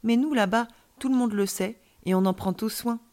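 Woman voicing a high, playful alien boy. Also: moderate pace, a little mellow, natural voice. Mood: proud. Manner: playful, enthusiastic, encouraging. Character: joyful, mischievous alien boy from the stars. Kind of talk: children's audiobook character voice.

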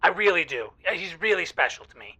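An actor playing an exasperated, lovelorn caller to a radio advice show, talking in dramatic, emphatic bursts with halting restarts. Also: medium pitch, sing-song, bright, forceful tone, husky, heavily acted, poor audio. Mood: exasperated venting. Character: exasperated, lovelorn caller venting about a boyfriend. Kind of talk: semi-improvised audio drama, radio call-in.

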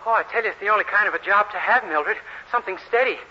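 A man's voice: high voice, fast, sharp tone, breathy edge, heavily acted. An actor playing an eager, naive young man in a 1940s radio comedy, earnest and chatty. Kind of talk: 1940s radio sitcom acting. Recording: noisy muffled 1940s radio recording.

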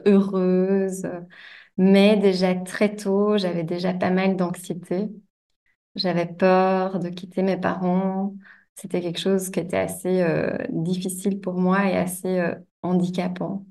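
Woman, flat mellow voice. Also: lowish voice. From Belgium. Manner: slow, thoughtful, frequent hesitations. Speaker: guest, coach. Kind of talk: personal interview storytelling. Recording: voice distant from the mic.

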